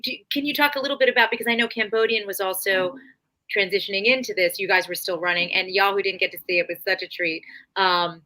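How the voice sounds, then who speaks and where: A woman's voice: medium pitch, steady tone, fast talker, pushed and bright. A woman guest-hosting a casual chat, effusive and rambling with lots of fillers. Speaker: guest host. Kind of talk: casual conversation.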